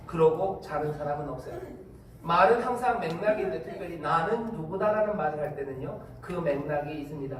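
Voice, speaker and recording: A male pastor with a Korean Incheon accent, preaching a sermon in a relaxed, conversational way with asides. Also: fairly high pitch, brisk. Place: church sanctuary. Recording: echoey hall, distant mic, faint noise.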